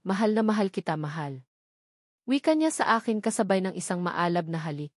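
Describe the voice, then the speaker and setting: A woman's voice: mid-range voice, a little mellow, dry recording, studio quality. A woman reading a first-person romance story as a scripted narration. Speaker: audiobook narrator.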